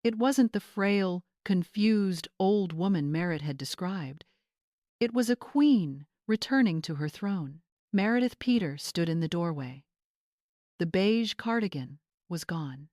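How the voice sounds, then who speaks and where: Low-pitched woman narrating dramatic fiction. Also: lively intonation, measured pace, darkish tone, no echo, clean studio sound. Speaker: story narrator.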